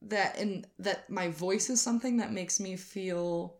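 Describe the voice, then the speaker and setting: A woman co-hosting a casual podcast chat, with a slightly raspy voice and a lingering cold, talking frankly. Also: mid-range voice, unhurried. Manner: frank, conversational, many fillers. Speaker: podcast co-host.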